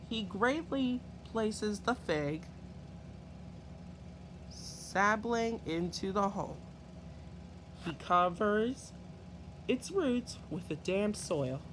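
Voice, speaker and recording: A man reading a children's story aloud in an expressive, deliberate way with halting phrasing. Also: sits high, a little hiss or hum. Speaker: storybook reader.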